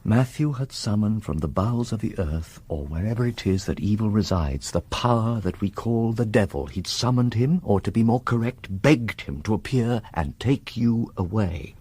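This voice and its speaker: An actor playing a grave, resolute man who summons dark powers in a radio horror drama, husky and intense, breaking into whispers. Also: on the low side, animated, normal speed, hardly any pauses, dry recording. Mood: grim recounting.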